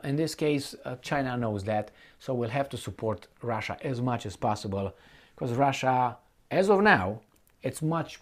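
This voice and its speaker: A man giving an unscripted, opinionated political commentary, speaking bluntly and colloquially, with halting repetition and rhetorical questions to the listener. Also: mid-range voice. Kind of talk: unscripted opinionated monologue to viewers.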